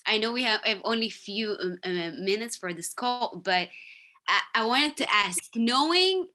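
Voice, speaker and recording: Bright-voiced woman interviewer. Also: fairly high pitch, expressive. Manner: halting, restarts phrases. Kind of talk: interview questions.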